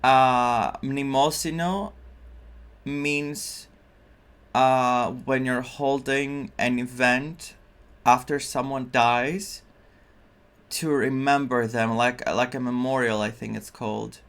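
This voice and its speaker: A man's voice: mid-range voice, fairly even intonation, slow, a bit bright, clean tone. A man giving casual, reflective playthrough commentary as a gaming streamer, often searching for his words. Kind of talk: casual playthrough commentary, reading some dialogue aloud.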